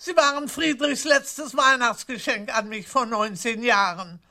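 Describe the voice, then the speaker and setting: Fussy old aunt, shrill and bright. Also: female voice, unhurried. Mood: nagging, indignant. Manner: scolding, demanding, indignant. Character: stingy, fussy, nagging old aunt of seventy-seven. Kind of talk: German radio crime play acting.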